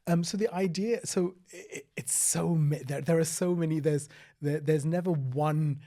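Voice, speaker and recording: Mellow-voiced man, reflective guest. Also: on the high side, moderate pace. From Sri Lanka. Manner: reflective, restarts often, soft trailing phrases. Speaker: guest, former paediatrician. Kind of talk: conversational interview.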